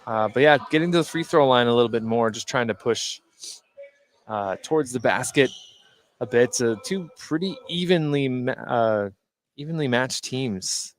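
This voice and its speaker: Male color analyst for live basketball, average pitch, conversational and a bit halting, thinking out loud. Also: lively intonation. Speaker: color commentator.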